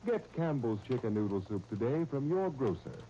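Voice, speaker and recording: An American man announcing on 1930s radio, narrating and pitching the sponsor in a polished, folksy, persuasive way. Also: big pitch swings, moderate pace, warm tone, slight background noise. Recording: muffled 1930s radio recording.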